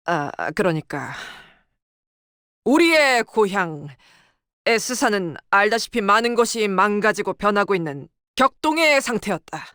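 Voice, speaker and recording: A woman's voice: medium pitch, sing-song, slow pace, pauses often, fairly bright tone, no echo, clean studio sound, exaggerated voice. A woman voicing a proud, stern female military tactician in a game, with clipped, formal, commanding delivery. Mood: grave, measured. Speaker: game character voice. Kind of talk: dramatic scripted game dialogue. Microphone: close, dry studio microphone.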